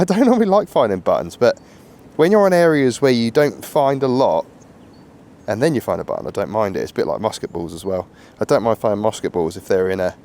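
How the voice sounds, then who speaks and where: A man with a husky voice from a cold, chatting casually while metal detecting in an open field outdoors. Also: lowish voice, darkish tone. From England. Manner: chatty, rambling, upbeat, laughs often. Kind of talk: casual outdoor vlog chat.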